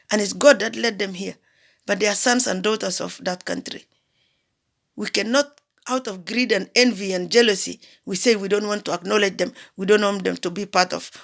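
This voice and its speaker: An impassioned woman from South Sudan speaks out in an emphatic political address. Her voice has a gravelly, fry-tinged edge at a middling pitch. She drives her points home with repeated phrases and pointed rhetorical questions. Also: a bit bright. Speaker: diaspora commentator.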